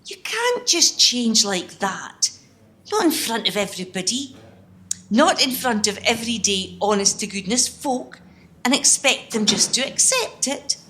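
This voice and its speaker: Sceptical working woman female amateur actor, radio play. Brisk, incredulous, highish pitch, extremely expressive delivery. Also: exaggerated voice. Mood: astonished. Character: feisty, sceptical, kind-hearted working woman on day off.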